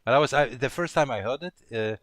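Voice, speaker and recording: A man's voice: medium pitch, fast talker, no echo, clean studio sound. A man from Poland chatting casually during a pair-programming session, rambling and thinking aloud as he goes. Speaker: programmer co-hosting a live coding session.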